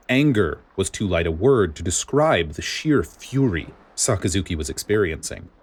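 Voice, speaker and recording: A man narrates a piece of dramatic fiction. His voice is on the low side and moves expressively through the scripted prose. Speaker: audiobook narrator.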